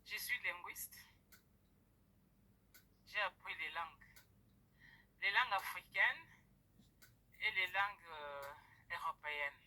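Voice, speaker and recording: A middle-aged woman from the Democratic Republic of the Congo giving a personal testimony to an audience, hesitant, with many pauses and fillers. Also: lowish voice, bright, forceful tone. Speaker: guest speaker. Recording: tinny phone-like recording.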